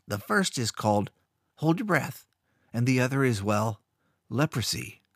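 A man voicing a sinister, scheming male rune master who speaks smoothly but with a cold, threatening edge. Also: medium pitch, lively intonation, measured pace, a bit bright, dry recording, studio quality. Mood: cold commanding.

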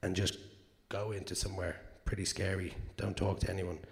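An Irish actor with a naturally hoarse voice, answering audience questions candidly and rambling, with fillers and swearing. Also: male voice, deep voice, little pitch movement, a little fast. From Ireland. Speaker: actor guest. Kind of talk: live Q&A answers. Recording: long hall reverb.

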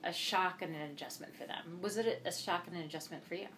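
A woman interviewing a student, asking careful, measured questions and humming along softly as she listens. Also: lowish voice, a little hiss or hum. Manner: careful, measured, with soft backchannel hums.

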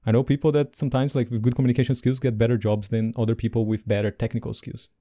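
Mellow-voiced man, non-native English speaker. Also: medium pitch, fast. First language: not English. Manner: animated, laughs between points. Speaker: podcast co-host. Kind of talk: casual podcast chat.

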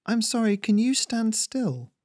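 A man's voice: high-pitched, sing-song, a little slow, dry recording, studio quality, voice pushed up. A man voicing a big talking weasel, a matter-of-fact and testy tenor, in an audio story. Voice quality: mellow tenor.

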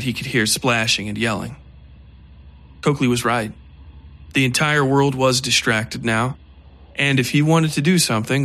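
A man narrating a dark horror fiction story in a steady, measured way. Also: mid-range voice.

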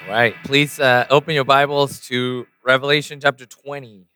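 A man's voice: mid-range voice, flat delivery, normal speed, bright, forceful tone, clear, resonant tone, soft background music. A man preaching a sermon and praying, losing his voice a little, with many fillers and restarts. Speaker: pastor. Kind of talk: sermon with prayer.

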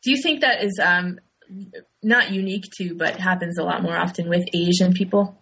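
A woman's voice: on the low side, normal speed, fairly bright tone, slightly rough, low-quality recording. A woman talking thoughtfully in a casual discussion, hesitating with fillers as she works through her points. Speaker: panelist.

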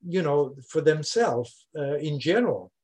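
An older man from Switzerland with a Swiss French accent, a scientist explaining his research in an interview, with many "uh" pauses. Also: fairly high pitch, expressive, a little mellow. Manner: explanatory, frequent "uh" fillers. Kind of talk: conversational interview.

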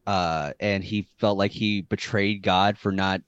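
A man hosting a casual podcast chat, talking haltingly with many fillers and restarts. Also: sits low, fairly even intonation, a bit bright, clear, resonant tone, no room sound, dull audio. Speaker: podcast host.

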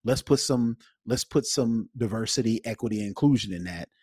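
A man co-hosting a podcast, giving his opinions casually in an emphatic, halting way. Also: mid-range voice, dark tone, slightly rough, no echo, studio quality. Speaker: podcast co-host.

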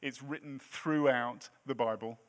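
A man's voice: mid-range voice, fairly even intonation, a little slow. A man in his late thirties preaching a sermon in a church, with a self-deprecating, jokey manner between points. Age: 30s. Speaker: pastor.

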